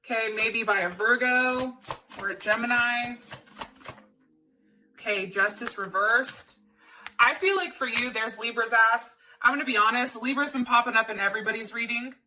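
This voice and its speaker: Woman doing a chatty tarot reading, really bright voice, kinda far from the mic w some noise. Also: fairly high pitch, moderate pace. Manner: candid and informal, with mild swearing. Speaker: tarot reader and channel host. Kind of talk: casual, conversational tarot card reading. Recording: distant mic, background noise with clicks.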